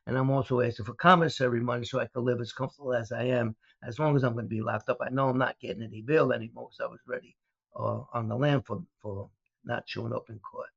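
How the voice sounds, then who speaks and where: Older New guy, gravelly, meandering storyteller, lo-fi dry sound. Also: medium pitch, fairly even intonation, fast, a bit bright, no echo. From Brooklyn, New York. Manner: rambling, many uh fillers and restarts. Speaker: guest, former mobster turned speaker. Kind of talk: storytelling interview. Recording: dull, dry lo-fi audio.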